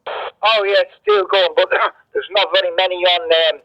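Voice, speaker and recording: A man's voice: high voice, steady tone, moderate pace, no room sound. A man chatting informally in radio slang as a hobbyist, heard through a CB radio. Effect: CB radio transmission. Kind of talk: casual radio chat. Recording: muffled CB radio link.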